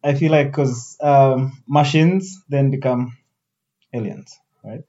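A man's voice: mid-range voice, steady tone, takes it slowly, dark tone. A man hosting a podcast, chatting casually and rambling, often repeating words as he stumbles. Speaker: podcast host. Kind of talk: casual interview chat. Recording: dull, muffled sound.

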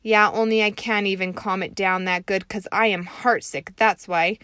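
A woman voicing an excitable, dramatic six-year-old girl who talks loudly and with a squeal, as she reads a storybook aloud. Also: mid-range voice, normal speed, clean tone, no echo, natural voice. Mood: indignant.

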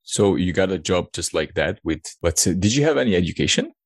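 A man with a very deep voice hosts a podcast and asks his guest questions in a friendly, curious way. His voice sounds close and dry, with no room sound. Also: expressive, brisk, breathy edge, no room sound. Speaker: podcast host. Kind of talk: interview hosting.

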